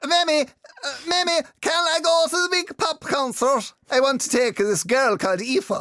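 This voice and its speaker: A man's voice: extremely high pitch, fairly even intonation, normal speed, bright, forceful tone, faint music behind. A man playing a lovestruck, awkward young schoolboy in a comic audio drama, gushing with earnest melodrama. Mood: eager pleading.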